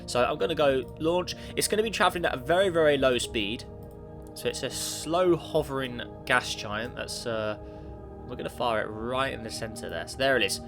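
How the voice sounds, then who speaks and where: Man, has a cold, sniffly, casual gameplay commentary, rambling, middle pitch, music playing clearly behind. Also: normal speed. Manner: rambling, self-correcting, talks to the game. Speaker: gaming YouTuber. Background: background music.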